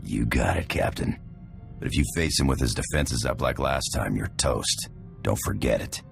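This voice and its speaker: A man playing a gruff, menacing alien brute in a video game, guttural and gravelly, with a blunt, sardonic manner. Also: a bass voice, normal speed, music in the background. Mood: blunt warning.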